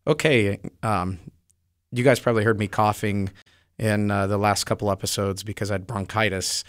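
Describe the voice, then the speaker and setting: A man co-hosting a podcast in casual chat, his throat slightly hoarse, with easygoing, brief replies. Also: fairly low pitch, steady tone, normal speed, dry recording, clean studio sound. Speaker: podcast co-host.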